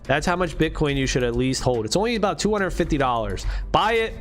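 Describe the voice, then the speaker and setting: Late-thirties male streamer, slightly high pitch, casual and profane, faint music underneath. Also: lively intonation, a little fast. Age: late 30s. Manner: laid-back, slangy and profane, dude-and-bro talk. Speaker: livestream host. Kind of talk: casual live-stream chat.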